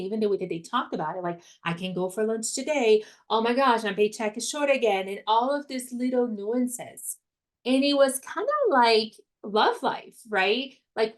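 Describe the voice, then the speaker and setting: Woman, Brazilian accent, podcast guest, lively, laughs a lot. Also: on the high side. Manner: animated, laughs often. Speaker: money mindset coach. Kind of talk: podcast interview, personal story.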